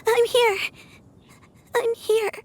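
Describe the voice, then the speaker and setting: A devoted young granddaughter, very high voice, earnest, slow. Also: female voice, little pitch movement, a little hiss or hum, full character voice. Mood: tearful. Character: young girl devoted to her granny.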